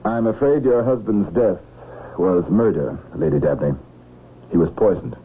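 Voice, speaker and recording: An actor playing a probing police inspector in a radio drama, terse and matter-of-fact, courteous but persistent. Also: male voice, lowish voice, normal speed, natural voice. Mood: formal, courteous. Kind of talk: 1970s radio drama acting. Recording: muffled lo-fi old radio broadcast, hiss.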